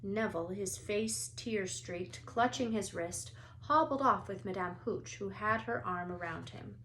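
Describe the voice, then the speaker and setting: A woman narrates a children's storybook in an expressive read-aloud style, as a teacher would. Her voice sits at an average pitch. The recording carries a faint layer of background noise. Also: a little slow, rough recording.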